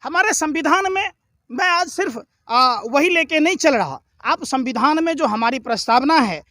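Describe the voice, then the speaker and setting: Very high-pitched, combative man arguing politics. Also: animated, moderate pace, bright, forceful tone, no room sound. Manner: combative, indignant, firing rhetorical questions; emphatic, repetitive oratory. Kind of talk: heated political argument and speech.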